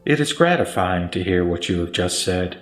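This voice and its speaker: A man reciting the Buddha's part in a scripted sutra audio drama, as a serene, authoritative teacher with solemn, deliberate phrasing. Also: low voice, animated. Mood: serene, instructive. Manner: solemn, didactic, deliberate listing. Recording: roomy echo, music bed underneath.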